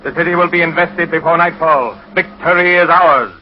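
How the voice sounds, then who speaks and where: A proclamatory military announcer, played by a man, delivers exultant bulletins in a fairly high voice. The 1940s radio drama sound is dull and very noisy. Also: normal speed, natural voice.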